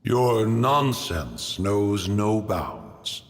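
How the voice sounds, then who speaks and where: An actor playing a proud, stern and ancient mountain guardian in a video game, speaking in pompous, archaic, formal phrases. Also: male voice, lowish voice, big pitch swings, very slow, soft background music, heavily acted. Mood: haughty, disdainful. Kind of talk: scripted video game voice acting. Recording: long cavernous reverb.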